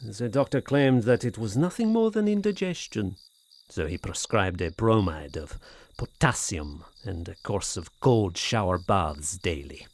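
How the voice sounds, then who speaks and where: An actor playing a tormented, anxious French gentleman in an audio horror drama, speaking formally with hesitant, brooding, theatrical delivery. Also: lowish voice, big pitch swings, measured pace, heavily acted. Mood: weary unease. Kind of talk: audio horror drama acting, period literary diction.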